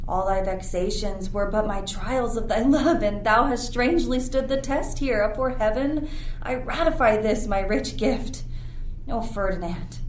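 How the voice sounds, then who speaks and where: A woman's voice: medium pitch, close to an everyday voice. A woman playing a commanding sorceress and stern mother in formal, declamatory verse for an amateur Shakespeare radio play. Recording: roomy, noisy with ambient sound effects.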